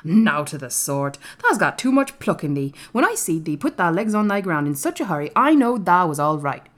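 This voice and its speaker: A woman's voice: on the low side, big pitch swings, normal speed. Woman as a crabbed old Yorkshire gardener. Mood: dry exultation. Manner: testy, dry, shrewd. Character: crabbed, dryly tender old male gardener.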